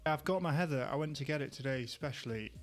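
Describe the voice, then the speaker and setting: A man's voice: a little high, fast talker, soft background music. A young man in a casual tasting chat, playful and jokey, a little stuffy from hay fever. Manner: playful, jokey, rhyming banter. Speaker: podcast co-host.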